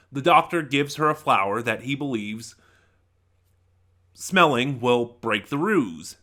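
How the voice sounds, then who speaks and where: A man giving a casual, rambling review, halting and correcting himself, with deliberate emphasis on key words. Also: mid-range voice, pushed and bright. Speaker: audio drama reviewer.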